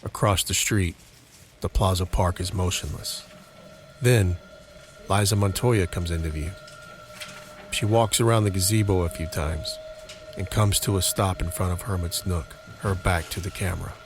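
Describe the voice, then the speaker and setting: Low-voiced male drama narrator over music. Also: steady tone, normal speed. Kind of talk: scripted narration of a horror mystery audio drama.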